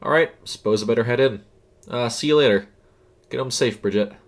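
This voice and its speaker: A man's voice: mid-range voice, fairly quick, natural voice. Man playing an easygoing young night-shift worker. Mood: casual, friendly. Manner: casual, hesitant, conversational. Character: easygoing, considerate young male fast food night worker.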